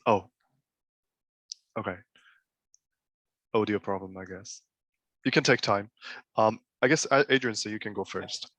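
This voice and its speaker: A man's voice: on the low side, a little slow, long pauses, fairly bright tone, no echo. A man moderating an online panel in an informal, slightly tentative way, as on a video call. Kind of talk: casual interview hosting.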